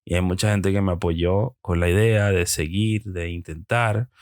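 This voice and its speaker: A young Venezuelan man with a Venezuelan accent hosting a solo podcast, talking reflectively and pausing often with hesitant fillers. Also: deep voice, fairly even intonation, darkish tone, no echo, clean studio sound. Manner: hesitant, with frequent ums and pauses. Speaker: podcast host.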